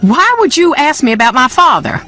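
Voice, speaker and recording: A woman's voice: sits high, big pitch swings, normal speed, bright, forceful tone, soft background music, exaggerated voice. A woman playing a troubled, haughty woman in a video game, brittle and curt, with a Southern US accent. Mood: defensive, wounded.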